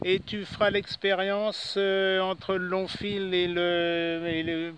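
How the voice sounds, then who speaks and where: A man's voice: sits high, level tone, slow pace, a bit bright. A man chatting hesitantly in a casual amateur radio contact, using call signs and frequent tag words. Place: outdoors in a city park. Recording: clearly noisy outdoor recording with clicks.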